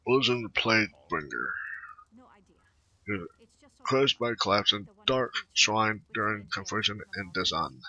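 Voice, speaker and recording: A man doing casual game commentary and reading text aloud, haltingly, stumbling over words. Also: lowish voice, lively intonation, pushed and bright. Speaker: gamer narrating a playthrough. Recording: slightly dull, lo-fi microphone audio.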